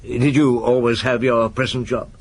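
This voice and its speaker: An actor playing a weary, doubting middle-aged chief inspector in a radio drama, probing, hesitant and often muttering to himself. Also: male voice, medium pitch, little pitch movement, normal speed, slight background noise, close to an everyday voice. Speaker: detective and narrator. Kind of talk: radio drama dialogue and narration.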